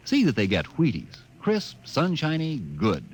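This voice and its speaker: A man's voice: fairly high pitch, wildly swooping pitch, normal speed, husky. A man as a 1950s radio announcer reading a cereal commercial in a chummy, persuasive way. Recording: old radio recording with hiss.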